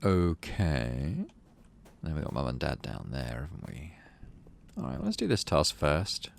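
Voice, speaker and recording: A man's voice: extremely low pitch, wildly swooping pitch, a little slow, creaky, a little hiss or hum. A man hosting a gaming video, reading story text aloud and adding casual, muttered asides to himself. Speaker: gaming channel host.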